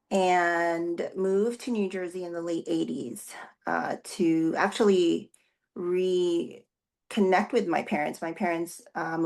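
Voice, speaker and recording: A Filipino-born woman whose first language is Tagalog talks through her story in an interview. Her voice sits low with a gravelly fry, and she pauses often with fillers and restarts as she thinks. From Philippines. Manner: thoughtful, many fillers and restarts. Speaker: executive pastor, guest. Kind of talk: casual interview answers.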